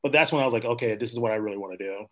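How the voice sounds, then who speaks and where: A young man with a mid-range voice, chatting as a podcast guest. He rambles easily, with lots of "like" and "um" and a quick laugh, and tells stories about his theater start. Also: medium pitch. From Minnesota, US. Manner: rambling, with many fillers and laughs. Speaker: podcast guest, actor and playwright. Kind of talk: casual interview chat.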